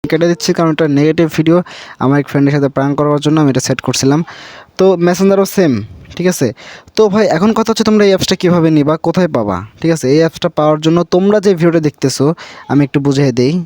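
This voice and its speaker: Fast-talking Bangladeshi man, informal tutorial. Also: fairly high pitch, a little mellow, dry recording. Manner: repetitive, addresses viewers as brother, frequent check-in tags. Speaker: tutorial host. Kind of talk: informal app tutorial, unscripted screen walkthrough.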